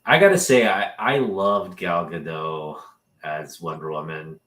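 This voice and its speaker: A man in his forties joins the conversation as a co-host. He speaks in a relaxed, chatty way, with a deep, low voice. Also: a little slow. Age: 40s. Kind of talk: casual chat.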